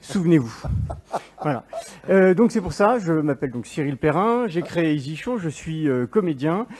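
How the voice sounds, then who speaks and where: A man who is an actor pitching his startup on stage, joking with the audience. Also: expressive, moderate pace, a little mellow. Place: event stage.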